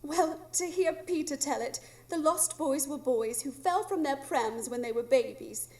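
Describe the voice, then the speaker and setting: Woman as patient English lady, high pitch, prim, precise, understated, radio drama. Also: a little slow, a little mellow. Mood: wistful, explanatory. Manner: proper, understated. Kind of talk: live radio drama acting.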